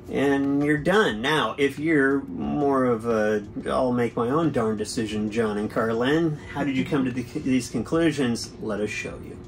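A man's voice: mid-range voice, a little hiss or hum. Casual middle-aged man reviewing gear. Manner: easygoing, explanatory, frequent fillers. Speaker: boating channel host. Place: boat cabin with windows.

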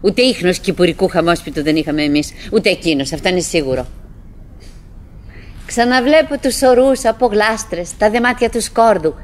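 An actress playing a chattering, wistful middle-aged wife who is stubbornly cheerful, musing aloud in fragments and exclamations in a theatrical radio play. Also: female voice, medium pitch, close to an everyday voice. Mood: nostalgic. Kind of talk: theatrical radio drama monologue. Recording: noisy old radio drama recording.

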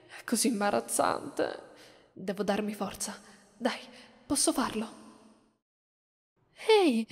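A woman acting a shy, flustered schoolgirl in love with a friend in an ASMR romance roleplay, soft, timid and hesitant. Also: on the high side, no room sound, exaggerated voice. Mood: flustered, apologetic.

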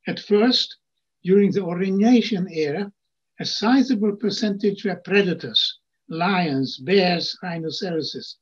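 Older guy reading slides on a video call, high husky voice, dull lo-fi sound. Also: unhurried. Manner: reads slide text, adds plain asides. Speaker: lecturer. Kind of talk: reading lecture slides with asides. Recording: muffled lo-fi video call audio.

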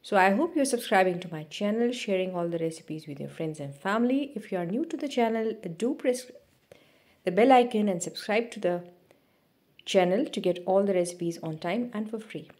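A woman narrating a cooking video as a voice-over, giving steady step-by-step instructions with small restarts. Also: medium pitch, normal speed. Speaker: cooking channel host.

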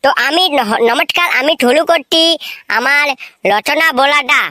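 Woman voicing a high-pitched, cheeky cartoon schoolchild. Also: measured pace, sharp tone. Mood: eager. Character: cheeky, eager village schoolchild reciting confidently in garbled nonsense English. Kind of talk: comic cartoon skit dialogue and recitation.

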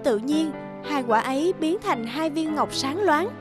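A woman narrating a fairy tale for an animated story in a gentle, measured way. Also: sits high. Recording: music bed behind voice.

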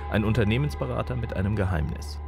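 Low male crime narrator over music. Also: quick pace, gravelly. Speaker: TV report narrator. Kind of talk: scripted true-crime narration. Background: prominent music.

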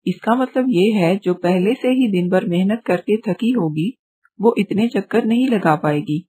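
A woman voicing a wise male parrot elder in a children's story, measured and authoritative. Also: medium pitch, dark tone, husky. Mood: measured, explaining.

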